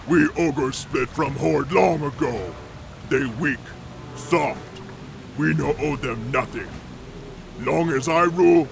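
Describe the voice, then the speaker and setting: An actor playing a brutish, arrogant ogre clan chief in a video game, with blunt, taunting broken grammar. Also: male voice, mid-range voice, vocal fry, a little hiss or hum. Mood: scornful. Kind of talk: video game cutscene acting.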